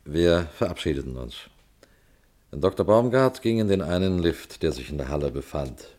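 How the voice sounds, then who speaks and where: A man playing a composed colonel who narrates and acts in a radio mystery, telling it in a measured way. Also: low-pitched, expressive, a little mellow, a little hiss or hum. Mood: composed storytelling. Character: composed male colonel narrating his own mystery case.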